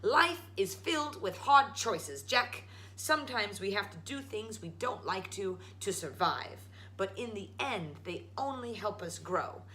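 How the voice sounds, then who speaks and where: A woman's voice: medium pitch, expressive, unhurried, few pauses, pushed and bright, a little hiss or hum. A woman voicing a stern, fretful widowed mother in a storybook, scolding and emphatic. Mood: firm, weary. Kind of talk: storybook read-aloud.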